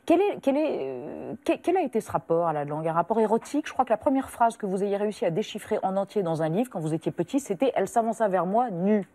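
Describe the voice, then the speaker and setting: A woman's voice: mid-range voice, animated, fast, few pauses, mellow. A woman hosting a television interview, asking questions conversationally with frequent fillers. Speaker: talk show host.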